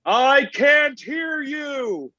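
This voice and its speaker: High-pitched, sulky Santa Claus played by a man. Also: animated, very slow, sharp tone, no echo, exaggerated voice. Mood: blustery. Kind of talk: comedic script table read. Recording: dull lo-fi online call.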